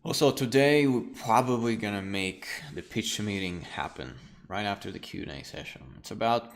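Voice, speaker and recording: A man's voice: on the low side, normal speed. A young man whose first language is Russian gives a casual, chatty art lecture on a livestream. He often laughs and talks loosely. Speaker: art lecturer streaming a class.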